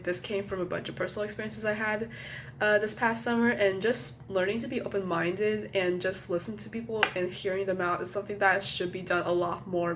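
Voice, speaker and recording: A woman's voice: medium pitch, slight background noise, poor audio. A young woman talking to her phone camera as a college student vlogger, hesitant and apologetic, with many fillers and restarts and a slightly nasal tone. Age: young adult. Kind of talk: casual rambling chat to camera.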